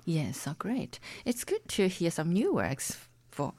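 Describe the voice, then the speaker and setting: A woman's voice: fairly low pitch, huge pitch swings, takes it slowly, darkish tone, dry recording. A woman presenting a classical music radio show, interviewing a guest and introducing the next pieces. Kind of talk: radio hosting and announcing.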